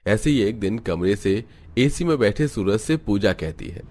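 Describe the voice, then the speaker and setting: A man's voice: lowish voice, lively intonation, moderate pace, a little mellow, slight background noise. A man reading scripted narration for a cartoon family story.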